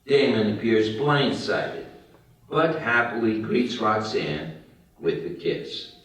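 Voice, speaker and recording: A man's voice: on the low side, animated, slow pace, a bit bright. A man reading scripted screenplay stage directions as the narrator at a table read. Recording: very echoey room, distant mic.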